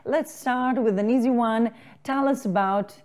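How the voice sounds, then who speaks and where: A woman's voice: a little high, measured pace, a little mellow. A woman in her forties hosting an online summit from a studio set, giving formal scripted introductions on a lapel mic. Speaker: summit host. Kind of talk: scripted presenting.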